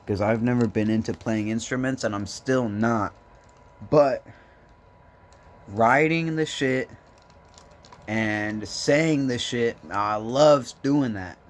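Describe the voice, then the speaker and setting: A young man with a voice hoarse from smoking, rambling and joking in a laid-back way into his phone. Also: medium pitch, slow, long pauses. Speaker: vlogger. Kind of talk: rambling casual vlog chat.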